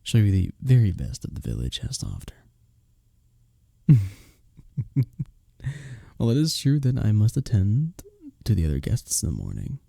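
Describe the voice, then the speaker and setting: A man playing a courteous, smitten young village innkeeper in an intimate romantic fantasy roleplay, speaking softly and breathily with formal, bashful phrasing. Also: fairly low pitch, huge pitch swings, normal speed, vocal fry, long pauses, dry recording, clean studio sound, heavily acted. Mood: earnest, admiring.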